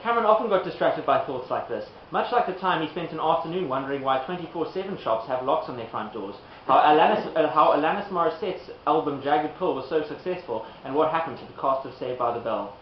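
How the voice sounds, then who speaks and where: A man's voice: fairly high pitch, expressive, fast talker, hardly any pauses. A man narrating a comic puppet show in an amused, giggly manner, breaking off to direct the performers. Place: live performance in an echoey room. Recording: noisy, muffled, echoey room recording.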